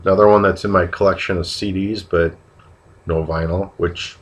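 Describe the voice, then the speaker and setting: A man with a cold, sounding a bit nasal, chatting casually and haltingly on camera about records he found, with plenty of fillers and restarts. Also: sits low, fairly even intonation. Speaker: vinyl record collector showing finds. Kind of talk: casual video vlog.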